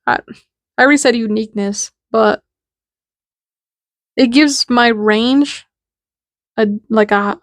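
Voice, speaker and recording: A woman's voice: mid-range voice, no echo. A woman in her twenties with a nasal voice, talking in a casual, rambling and candid monologue as the host. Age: 20s. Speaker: podcast host.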